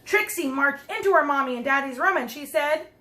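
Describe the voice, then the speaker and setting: A woman reading a picture book aloud to young children, expressive, with chatty asides and simple questions to her listeners. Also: sits high, a little fast. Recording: roomy echo, some background noise.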